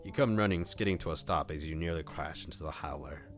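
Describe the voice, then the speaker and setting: Deep-voiced man streaming a story read-aloud. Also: fast talker. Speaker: streamer reading a visual novel aloud. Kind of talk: expressive story narration with casual live commentary. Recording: music under the voice. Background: background music.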